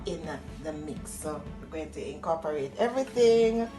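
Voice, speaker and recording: A middle-aged Jamaican-accented woman walks through a recipe in a casual, slightly low voice. She explains as a home cook would, searching for a word here and there, over clearly audible music. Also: expressive, normal speed, warm tone. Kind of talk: casual cooking demonstration. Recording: music playing behind the voice.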